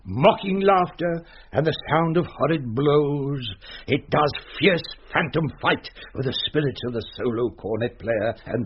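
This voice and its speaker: An actor playing a hearty, melodramatic gentleman uncle in a comic old-time radio drama. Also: a little high, breathy edge, full character voice. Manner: theatrical, hearty, mock-grave. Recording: dull, faintly noisy radio-style sound.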